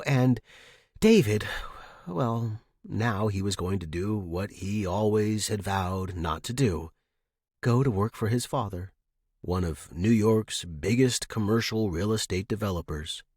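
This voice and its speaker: Low, husky man reading for a podcast. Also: measured pace, darkish tone, dry recording, studio quality. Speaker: podcast host and story narrator. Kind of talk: podcast introduction and expressive audiobook narration.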